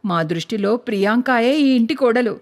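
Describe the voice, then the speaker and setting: A woman's voice: fairly high pitch, a little fast, voice pushed down, lightly acted. A woman voicing a stern, bossy older mother-in-law in a cartoon story. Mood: scolding. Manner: scolding, commanding.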